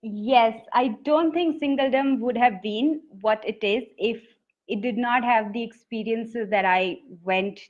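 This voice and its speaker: A woman poet with an Indian English accent, telling her story in an earnest, reflective interview. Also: mid-range voice. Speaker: poet and author guest. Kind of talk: conversational interview answers. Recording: dull lo-fi audio.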